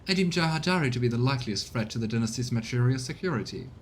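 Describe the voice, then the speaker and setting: A man's voice: mid-range voice, lively intonation, fast, a little hiss or hum, lightly acted. A man voicing a prim, formal retainer in a video game, wordy and deferential in manner. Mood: officious, formal. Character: prim, formal retainer reporting to his lord.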